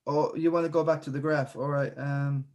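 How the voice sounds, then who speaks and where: A man's voice: fairly high pitch, steady tone, normal speed, darkish tone. A man hosting a casual fantasy football chat, rambling with many fillers and restarts. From Ireland. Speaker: podcast host.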